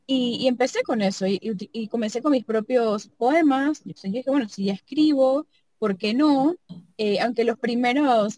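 A woman, a sound artist and double bass player, talking casually and reflectively about her work, thinking aloud and often adding tag questions. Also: darkish tone, no echo, low-quality recording.

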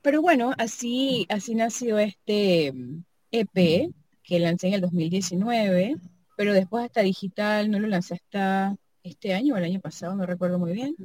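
Woman, sound artist, casual conversation, reflective, thinks aloud, medium pitch, dry close sound. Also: normal speed, darkish tone, no room sound. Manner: reflective, thinks aloud, frequent tag questions. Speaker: sound artist and double bass player. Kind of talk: casual conversation about her artistic work.

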